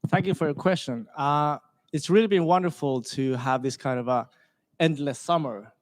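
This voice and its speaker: A man's voice: a little high, moderate pace. A man in his twenties, a student panelist talking casually with "like" and "you know". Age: 20s. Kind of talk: panel discussion.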